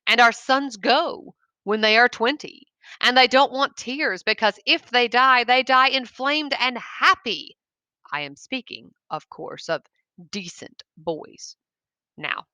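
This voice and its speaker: A woman's voice: on the high side, sharp tone, no echo. A woman voicing a proud, stoic and insistent old bereaved father in a short story. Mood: quiet, measured.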